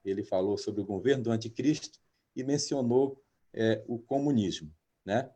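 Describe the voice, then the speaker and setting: A man in his fifties, a pastor hosting an interview, talking hesitantly with many drawn-out filler sounds. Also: mid-range voice, warm tone, husky. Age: 50s. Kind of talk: interview, reading a guest introduction.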